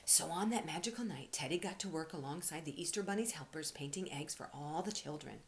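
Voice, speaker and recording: A woman giving an expressive read-aloud for children as a storyteller and teacher. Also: deep voice, fairly quick, slight background noise.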